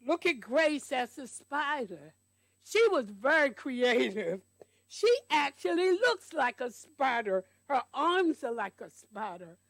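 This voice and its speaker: A woman storyteller reading aloud to children at church, deliberately, with explanatory asides. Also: sits high, no room sound. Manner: deliberate, explains details to young listeners.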